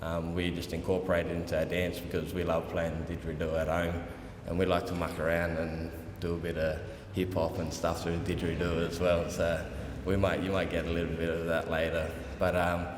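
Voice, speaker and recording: A young man from South Australia with an Australian accent, giving an informal, unscripted welcome speech in a relaxed, colloquial way. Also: deep voice, fairly quick, few pauses. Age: young adult. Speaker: dance group leader and language teacher giving a welcome. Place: large reverberant hall at a conference. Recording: echoey hall with long reverb, background noise.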